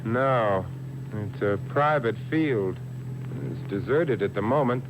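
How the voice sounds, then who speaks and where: A noisy old cassette copy of a radio mystery carries a suave, wry sleuth. His delivery swings with lively intonation. He stays urbane and unflappable, with dry little pauses, in 1950s style. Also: medium pitch. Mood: calm, watchful.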